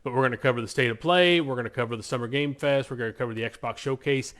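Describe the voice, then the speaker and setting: A man's voice: mid-range voice, fast talker, a bit bright. A man co-hosting a podcast, chatting casually and giving his opinions with enthusiasm and emphasis. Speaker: podcast co-host. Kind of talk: casual podcast chat about games and films.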